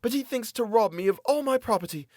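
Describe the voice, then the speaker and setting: A man's voice: expressive, quick pace, a little mellow, no room sound, studio quality. A man doing a desperate, pleading captive young woman. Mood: bitter. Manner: high-pitched, desperate, pleading. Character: frightened young woman imprisoned in an asylum, pleading.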